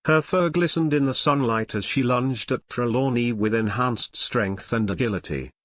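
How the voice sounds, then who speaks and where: A man's voice: medium pitch, moderate pace, fairly bright tone, no room sound, studio quality. A man narrating an audio drama in a scripted, dramatic storytelling style.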